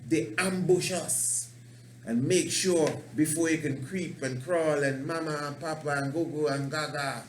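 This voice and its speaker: A man's voice: fairly high pitch, fairly even intonation, a little slow, slight background noise. A man, a priest hosting a radio talk show, preaching in a passionate, rambling way and playing with words. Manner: passionate, rambling, fond of wordplay. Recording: roomy echo.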